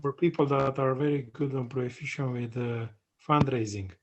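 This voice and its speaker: A man's voice: mid-range voice, fairly even intonation, highs cut off. A man from Romania speaking on a video call, introducing himself hesitantly with frequent fillers. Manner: hesitant, pauses often with fillers. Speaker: webinar participant. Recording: video call with background noise.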